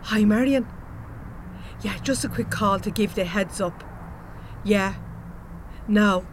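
An actress playing a brisk, down-to-earth Irish woman who leads a misfit gang in a comedy audio drama. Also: medium pitch, a little hiss or hum, close to an everyday voice. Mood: matter-of-fact. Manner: bossy, down-to-earth, chatty.

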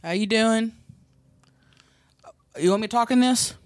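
A man's voice: high voice, takes it slowly. A man playing a brash, crude new-money woman on a comedy dating show, talking in blunt, casual slang. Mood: confused, blunt. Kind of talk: improvised comedy sketch.

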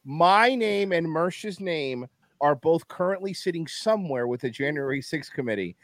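A man's voice: a little high, moderate pace, no room sound, clean studio sound. A man whose first language is Spanish, talking in a casual political chat as a guest and slipping into mocking impressions. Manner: animated, swings into mocking voices, laughs often. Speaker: podcast guest.

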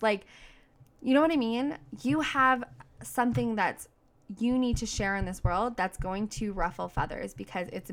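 A woman hosting a motivational coaching podcast on her own. She speaks emphatically in a medium-pitched voice, with an outgoing manner and informal, slangy wording. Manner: outgoing, self-described big voice. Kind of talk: motivational solo podcast talk.

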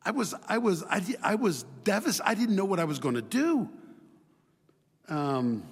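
A man's voice: fairly high pitch, slightly rough. A man from Chile preaching a sermon, mixing scripture with personal stories and repeating phrases for emphasis. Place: large hall, speaking live to a congregation. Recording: reverberant large hall.

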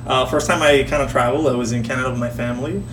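A man's voice: mid-range voice, steady tone, very fast. Man, 20s, Spanish speaker, reflective, hesitant, noisy recording with hum. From Puerto Rico. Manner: reflective, hesitant, many fillers and restarts. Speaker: podcast guest. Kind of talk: casual conversational storytelling. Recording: roomy, distant, noisy with hum.

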